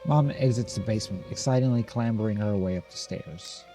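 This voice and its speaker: A man's voice: lowish voice, fairly quick, mellow, soft background music. A man doing let's play commentary, reading game text aloud and adding casual remarks. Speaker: gameplay video host.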